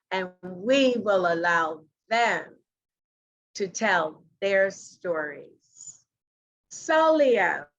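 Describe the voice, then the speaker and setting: A woman hosting an interview podcast over a video call from her living room, introducing her guest and asking questions slowly and deliberately. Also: mid-range voice, fairly bright tone, muffled recording. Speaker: podcast host. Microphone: video call webcam.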